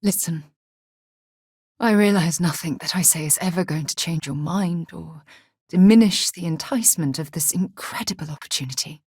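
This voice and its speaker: Low-pitched, earnest woman space explorer. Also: darkish tone, no echo, clean studio sound. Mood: exasperated. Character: brisk, principled space explorer woman, caring but exasperated. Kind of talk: video game character dialogue.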